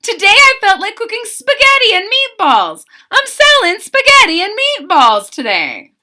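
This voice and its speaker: A woman reading a storybook aloud, voicing a flustered, kindly male baker who exclaims and frets in a high voice. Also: wildly swooping pitch, normal speed, pushed and bright, full character voice. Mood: fretful.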